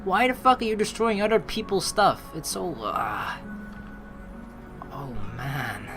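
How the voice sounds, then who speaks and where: Animated young male gamer over game music. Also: high voice, a little slow. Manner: expressive, slangy, animated. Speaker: let's play host. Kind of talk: game playthrough, reading dialogue aloud with casual commentary.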